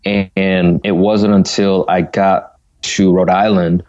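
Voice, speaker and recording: A man's voice: low voice, slow, lo-fi sound. A man from Connecticut, a guest musician, talking casually in an interview with lots of "you know" fillers. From United States (Connecticut). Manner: relaxed, talkative, frequent fillers like "you know". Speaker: guest singer and saxophonist.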